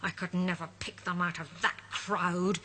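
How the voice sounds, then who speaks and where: A woman's voice: on the low side, little pitch movement, a little slow, fairly bright tone, a little hiss or hum, pitched below the performer's own voice. A woman voicing a wicked, grumpy villainous uncle with a growling delivery. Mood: angry.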